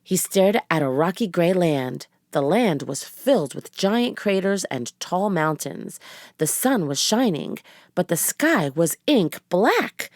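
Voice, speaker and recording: A woman's voice: fairly low pitch, sing-song, takes it slowly, a little mellow, no room sound, clean studio sound. A woman narrating a children's chapter book aloud as a storybook reader.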